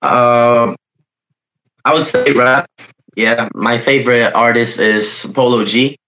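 A young man with Polish as his first language answers an interviewer's questions. He talks hesitantly, with many pauses and fillers while he hunts for the right words. His voice sits at a middle pitch. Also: steady tone, pushed and bright, rough recording. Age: young adult. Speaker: footballer guest.

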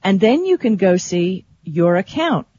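A woman's voice: medium pitch, very animated, a little slow, mellow, husky, highs cut off. A woman co-hosting a radio show, talking about tech in a chatty, informal, casual way. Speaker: radio co-host.

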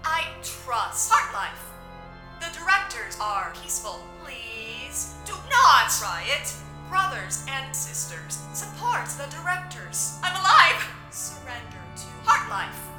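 A woman's voice: sits high, big pitch swings, slow pace, exaggerated voice. A woman playing an eerie digital reconstruction of a young woman, reading stilted propaganda announcements through synthetic processing. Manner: stilted, disjointed, declamatory. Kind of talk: propaganda announcement in audio drama. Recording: echoey, music behind the voice.

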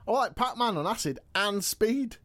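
An actor playing a cocky, food-loving, washed-up wrestling champion in an audio comedy, boastful and evasive with deadpan jokes. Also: male voice, high voice, moderate pace, no echo. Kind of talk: comedy audio drama dialogue.

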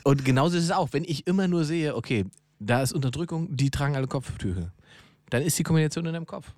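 A man's voice: on the high side, animated, quick pace, darkish tone, dry recording. Blunt, casual man in a dry studio sound. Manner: blunt, colloquial, explaining at length. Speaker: podcast co-host. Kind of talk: casual conversational discussion.

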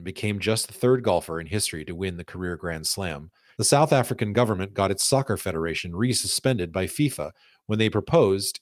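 A man reading scripted narrative for a documentary podcast in a measured, storytelling way. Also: lowish voice, dry recording, clean studio sound.

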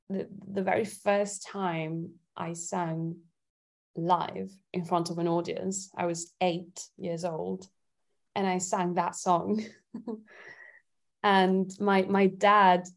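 Creaky, low-voiced woman chatting. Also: a little mellow. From Italy. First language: Italian. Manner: giggly, thoughtful. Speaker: singer-songwriter, interview guest. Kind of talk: casual chat.

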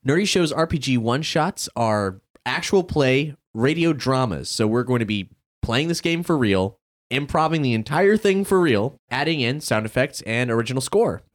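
A man's voice: medium pitch, lively intonation, normal speed. A man hosting a podcast, opening the show in an upbeat, casual announcer manner. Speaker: podcast host.

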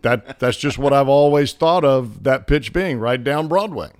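A man's voice: mid-range voice, normal speed, a little mellow. A middle-aged man from the Southern US with a country accent, telling folksy stories as a guest in a casual interview and chuckling often. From Southern United States. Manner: folksy, chuckling, homespun sayings. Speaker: guest, former ballplayer.

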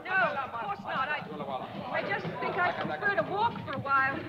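A strong-willed, wealthy lady in an old radio drama. Her voice sits on the high side, and she speaks formally and crisply, ready to challenge. The broadcast sound is somewhat dull. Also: normal speed, big-room sound, a crowd in the background. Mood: flustered, affronted. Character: proud, strong-willed, wealthy woman on safari. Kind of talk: 1950s radio drama acting.

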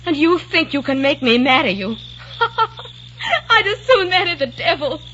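Scared but defiant young woman, high bright voice, old radio hiss. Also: lively intonation, moderate pace. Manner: emotional, scornful. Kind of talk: 1940s radio acting. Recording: dull lo-fi 1940s radio broadcast with faint hiss.

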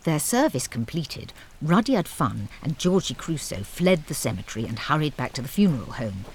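A woman's voice: low-pitched, big pitch swings, moderate pace, noisy background. A woman playing a genteel, wry narrator, reading scripted comic narration. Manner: wry, droll.

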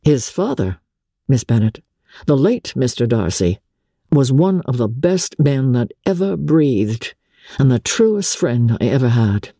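Woman as a courteous gentleman officer, mellow voice. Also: extremely low pitch, very animated, measured pace, breathy edge, dry recording, studio quality, exaggerated voice. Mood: pained, proud. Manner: hesitant, confiding, courteous. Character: genteel, smooth-talking young gentleman officer, wounded dignity. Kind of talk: dramatic audiobook character reading.

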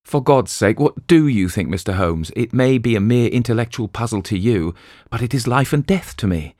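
A man's voice: medium pitch, animated, normal speed, a little mellow, dry recording, studio quality, pitched above the performer's own voice, lightly acted. A man reading an audiobook voices a distraught middle-aged husband who pleads urgently. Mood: desperate.

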